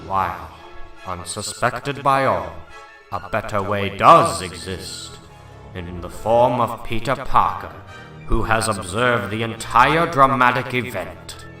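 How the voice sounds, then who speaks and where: A man playing a grave comic book narrator, reading scripted narration in a dramatic, deliberate, suspenseful way. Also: fairly low pitch, sharp tone, reverberant, soft background music, voice pushed down.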